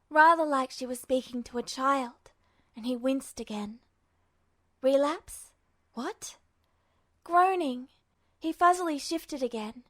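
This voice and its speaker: A woman reading fiction aloud as a scripted story narrator, measured, with dramatic pauses. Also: high voice, a bit bright. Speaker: amateur audiobook reader.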